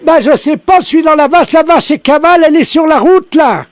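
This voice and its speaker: A man's voice: very high voice, fairly quick, no room sound. A man voicing a panicking, wailing female vet's assistant in a prank call. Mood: panicked. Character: panicking female vet's assistant stuck inside a runaway cow. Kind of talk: prank call character comedy.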